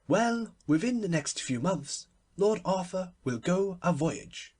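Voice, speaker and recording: A man's voice: high voice, expressive, takes it slowly, a little mellow, husky, full character voice. A man voicing an evasive, deferential male palm reader in an audiobook, careful and deprecating. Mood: guarded. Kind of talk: literary audiobook character voice.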